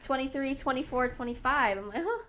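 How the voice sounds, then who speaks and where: A woman's voice: high-pitched, lively intonation, a bit bright. A woman in her twenties co-hosting a podcast, chatting casually and playfully, often chiming in to agree. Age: 20s. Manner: quick agreeing back-channels, playful. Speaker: podcast co-host. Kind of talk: casual chat.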